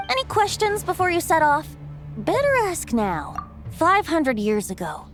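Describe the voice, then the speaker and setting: A woman voicing a playful, youthful wind spirit in a video game, telling old lore with light teasing asides. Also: a little high. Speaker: game character.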